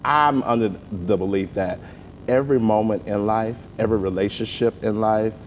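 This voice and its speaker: A middle-aged man with a Southern US accent, chatting earnestly and with some joking as a guest in a casual interview. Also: normal speed. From southern United States, rural. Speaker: interview guest, stage actor and comedian. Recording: long reverberant hall, faint rumbling noise.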